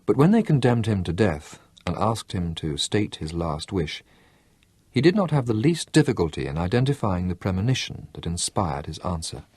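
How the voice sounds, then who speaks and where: A man narrating a novel as an audiobook, in a measured, expressive storytelling manner. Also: low-pitched, breathy edge, no room sound. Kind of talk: scripted narration.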